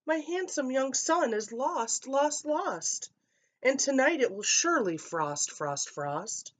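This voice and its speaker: A woman's voice: high voice, sing-song, takes it slowly, pitched above the performer's own voice, exaggerated voice. A woman voicing a doting, dramatic frog mother in a storybook, wailing and mournfully repeating herself. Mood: wailing, desperate.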